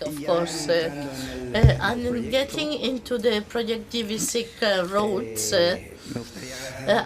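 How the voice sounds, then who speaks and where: A woman interpreting a conference talk into English, speaking haltingly with many drawn-out "uh" fillers between words. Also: mid-range voice.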